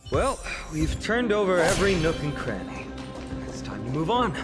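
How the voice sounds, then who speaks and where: A man playing an earnest, genial young warrior guide in a video game, gentle and thoughtful. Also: fairly high pitch, big pitch swings, moderate pace. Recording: game music underneath. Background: game music.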